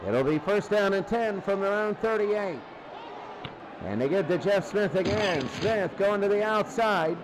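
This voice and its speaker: Guy with a pretty high voice calling a football game, informal, noisy background. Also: lively intonation, a little slow, a crowd in the background. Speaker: play-by-play football commentator. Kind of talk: live sports commentary. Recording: clearly noisy game broadcast.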